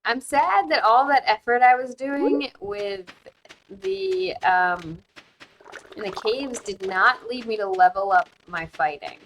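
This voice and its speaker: A woman's voice: mid-range voice, expressive, slow pace, a bit bright. Streamer, woman, from the US, casual talky delivery full of fillers, noisy home mic. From United States. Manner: chatty, thinks aloud with fillers. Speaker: streamer and host. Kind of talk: casual chat while playing a game. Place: home, living abroad.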